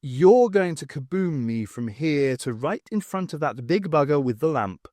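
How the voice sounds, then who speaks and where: A man voicing a brisk, determined adventurous woman, wry and commanding, in an audiobook reading. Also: sits low, animated, mellow, dry recording, studio quality.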